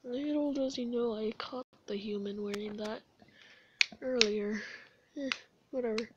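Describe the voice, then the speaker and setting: A woman voicing a laid-back, weary skeleton brother in a comic dub, with a casual, low-key delivery. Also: unhurried, muffled recording, a little hiss or hum, lightly acted.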